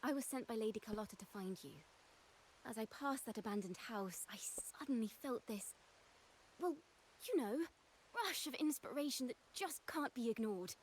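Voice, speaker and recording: A woman's voice: a little high, lively intonation, moderate pace, slight background noise, close to an everyday voice, low-quality recording. A woman voicing a whimsical, easily distracted wandering bard in a video game, with a British accent and lyrical, theatrical phrasing. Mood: playful.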